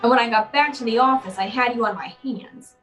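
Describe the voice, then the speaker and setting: A hardboiled private eye acted by a woman in an old-time radio play, her voice on the high side. She is tough, wisecracking and unflappable, firing off clipped slang with faint music behind her. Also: fairly quick, fairly bright tone, natural voice. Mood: wry narrating. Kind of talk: old-time radio detective drama acting.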